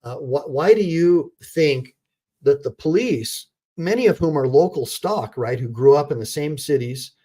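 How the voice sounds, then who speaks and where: A man's voice: a little high, animated, unhurried, mellow. A man who is an attorney, hosting a casual livestream and talking straight to his viewers, repeating points emphatically with fillers and restarts. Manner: talks straight to viewers, reads chat aloud.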